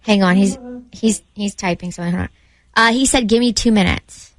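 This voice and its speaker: Female radio co-host, mid-pitched voice. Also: a little fast. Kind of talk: relaying text messages on air.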